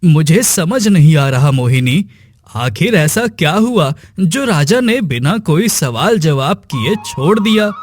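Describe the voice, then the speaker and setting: A man's voice: on the high side, sing-song, slow pace, no echo, clean studio sound, exaggerated voice. A man voicing a poor, bewildered farmer and father in a cartoon moral story.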